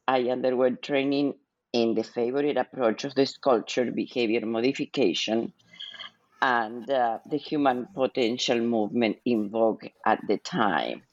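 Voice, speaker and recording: An older woman with an Argentine Spanish accent, answering interview questions as a guest in a measured, reflective way. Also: extremely low pitch, darkish tone, no room sound, dull audio. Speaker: guest author, psychologist.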